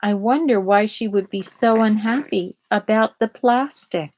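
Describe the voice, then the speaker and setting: A woman reads a children's storybook aloud at a slow, deliberate pace. Her voice sits at an average pitch, and she explains words and events simply for young listeners. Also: darkish tone. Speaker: storybook reader. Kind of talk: read-aloud of a children's picture book with explanatory asides.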